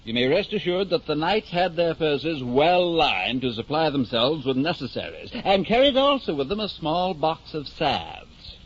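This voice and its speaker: An actor playing a wily innkeeper in a vintage radio drama, humouring someone with exaggerated, mock-formal courtesy. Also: male voice, on the high side, sing-song, measured pace, husky, exaggerated voice. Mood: instructive, sly. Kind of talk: 1950s old-time radio acting. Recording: muffled, noisy 1950 radio recording.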